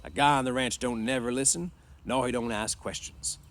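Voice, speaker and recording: A man's voice: medium pitch, steady tone, fairly quick, a bit bright, pitched above the performer's own voice, lightly acted. A man voicing a meek, uneasy old ranch swamper in a storybook read-aloud, talking in a folksy, placating way. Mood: defensive, uneasy.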